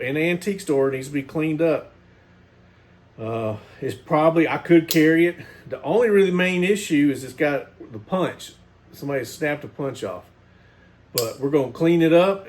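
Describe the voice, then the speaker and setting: Man, mid-range voice, folksy and rambling, casual knife-channel host talking to viewers. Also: mid-range voice. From Missouri, USA. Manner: rambling, folksy, many fillers and restarts.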